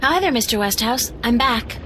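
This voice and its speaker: A woman's voice: on the high side, lively intonation, moderate pace, background noise, close to an everyday voice. An actress playing a polite, curious young woman adventurer asking questions.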